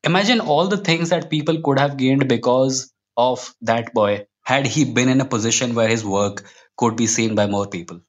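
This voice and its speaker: Indian man, middle-pitched, informal. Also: moderate pace, lo-fi sound. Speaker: podcast guest, freelance writer. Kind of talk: casual interview chat.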